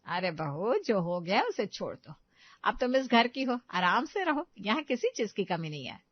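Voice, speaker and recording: A woman's voice: medium pitch, big pitch swings, moderate pace, no room sound, muffled recording. A woman voicing an affectionate, firm older mother-in-law in a family story, bossy but loving. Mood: reassuring.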